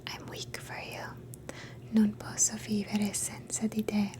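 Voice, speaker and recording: A woman in a soft, gentle, mostly unvoiced whisper, slowly teaching phrases in a relaxing language lesson and repeating each one. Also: mid-range voice, monotone. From Canada. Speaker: ASMR host teaching phrases.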